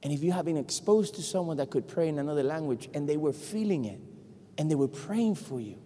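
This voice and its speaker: A man from Puerto Rico, Spanish his first language, with a Puerto Rican accent, preaching an animated, joking sermon to a congregation. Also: fairly high pitch, brisk. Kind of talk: lively sermon. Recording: long hall reverb.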